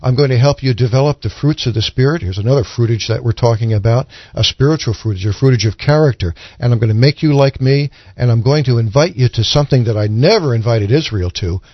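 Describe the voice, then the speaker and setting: Guy explaining bible stuff on a panel, deliberate teacher type, dry slightly muffled audio. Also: medium pitch, no room sound. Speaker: panelist on a Bible discussion show. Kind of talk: explanatory religious discussion. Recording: dull, lo-fi audio.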